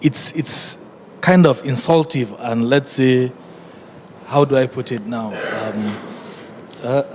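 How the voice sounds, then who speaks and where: A panel colleague handed the microphone at a business conference, a man of middling pitch who speaks politely and haltingly amid faint room noise. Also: darkish tone. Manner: hesitant, halting, courteous. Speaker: airline executive adding a point at a conference. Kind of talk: formal but unscripted panel remarks. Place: conference hall. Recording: noisy conference hall recording.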